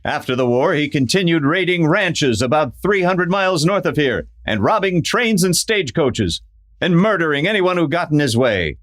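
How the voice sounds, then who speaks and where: Radio western hero played by a man: upright masked lawman, highish voice, very expressive, stern declarations. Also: moderate pace. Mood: stern accusing. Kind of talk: old-time western radio acting.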